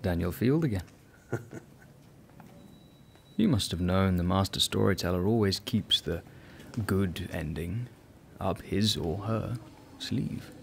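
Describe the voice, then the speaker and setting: A man acting a knowing angel who guides the dying in a radio play, measured, consoling and gently amused. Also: sits low, lively intonation, gravelly, slight background noise, exaggerated voice.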